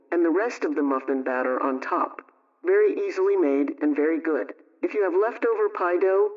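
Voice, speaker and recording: A man reading a scripted vintage radio homemaking show as host, giving measured recipe instructions. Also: fairly high pitch. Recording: dull, distant old-radio sound.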